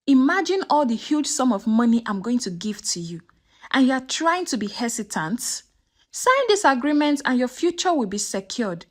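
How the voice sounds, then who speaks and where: A woman storyteller plays a scheming madam from a folktale. She coaxes with false kindness and quiet menace, in a fairly high voice with a very lively melody. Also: moderate pace. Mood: coaxing, menacing. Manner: coaxing, menacing. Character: scheming, manipulative village madam, falsely kind.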